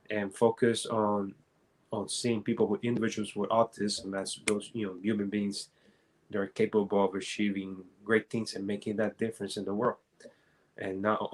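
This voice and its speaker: A man from Rico, giving an earnest, halting, unscripted answer as an advocate on a panel. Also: on the low side. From Puerto Rico.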